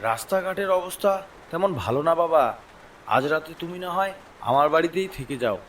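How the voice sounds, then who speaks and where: A man voices an older village shopkeeper in a horror cartoon. His fairly high voice is fatherly yet full of mysterious warning. He sounds slightly off the mic with a little noise around him. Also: animated, moderate pace, a bit bright, recorded from a distance. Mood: worried, cautioning. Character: older village tea-stall keeper, uneasy storyteller.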